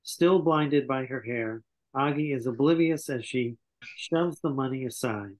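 A man's voice: medium pitch, a little slow, rough recording. A man in his sixties reading scripted stage directions as narrator at an online table read. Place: small home room, indoors, on a video call. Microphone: computer video call.

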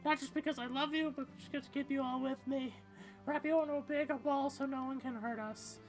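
A woman reading video game dialogue aloud in an expressive, conversational style. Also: sits high, fairly quick, faint music behind. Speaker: game streamer voicing characters.

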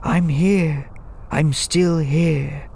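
A man's voice: a little high, steady tone, very slow, gravelly, a little mellow, slight background noise, exaggerated voice. A man playing a brooding, poetic old lighthouse keeper in an audio drama, musing like a storyteller. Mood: haunted. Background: music.

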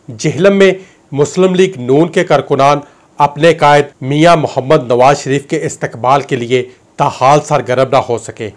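A man in his thirties delivers political news with a Pakistani Urdu accent. His voice sits a little high, and his reading is formal and declamatory. He speaks into a handheld mic in a small studio. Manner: formal, declamatory, with gesturing hands. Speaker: news presenter. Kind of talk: scripted political news commentary. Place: studio in front of a dark backdrop. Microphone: handheld mic held at chest.

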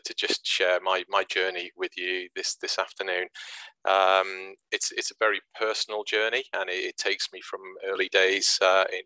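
A man in his sixties from Wales speaks over a video call, his voice low and forceful. He talks haltingly, with plenty of fillers and repeated words. The sound is dry and close. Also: no echo, low-quality recording. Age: 60s. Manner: hesitant, many restarts and fillers. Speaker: guest speaker. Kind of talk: presentation at an online branch meeting.

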